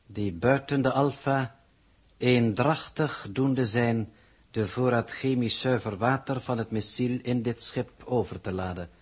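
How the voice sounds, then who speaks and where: Slow, husky, formal alien coordinator. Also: male voice, medium pitch. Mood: grave, formal. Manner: stilted, formal, ponderous phrasing. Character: formal, pedantic alien coordinator in disguise, reporting to viewers. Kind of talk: 1960s Dutch radio drama acting.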